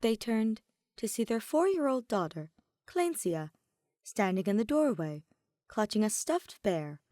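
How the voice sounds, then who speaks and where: A woman reading fan fiction as scripted dramatic narration, soft, measured and expressive. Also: a little high, dry recording. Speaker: fan fiction audiobook reader.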